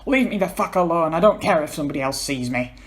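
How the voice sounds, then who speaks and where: A man's voice: fairly high pitch, expressive, fast, voice pushed up, lightly acted. A man acts out a tearful young man in a dramatic story reading. His voice sits well above an ordinary male register, pleading and stammering, and he turns sulky and defiant. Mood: angry, hurt.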